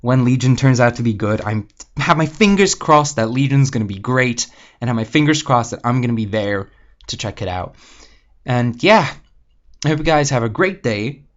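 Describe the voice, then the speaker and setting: A young man rants in a loose, rambling way and swears freely. His voice sits at an ordinary middle pitch. The recording sounds a little dull and lo-fi. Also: animated, moderate pace. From Slovakia. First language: Slovak. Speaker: gaming channel host. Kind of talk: casual ranting vlog.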